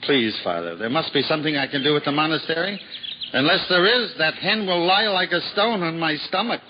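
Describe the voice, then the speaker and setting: An actor playing a poor, cheerful wandering juggler in a 1950s radio drama, earnest and prone to stammering when flustered. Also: male voice, fairly high pitch, lively intonation, a little fast, close to an everyday voice. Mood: humble. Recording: dull old radio recording with hiss.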